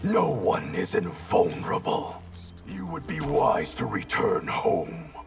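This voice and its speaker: An icy ninja from a video game. He speaks slowly and gravely, with a thick creak and stern formality. Game music plays underneath. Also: medium pitch, huge pitch swings, heavily acted. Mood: cold, resolute. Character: stern, icy masked male ninja warrior. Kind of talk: fighting game character dialogue.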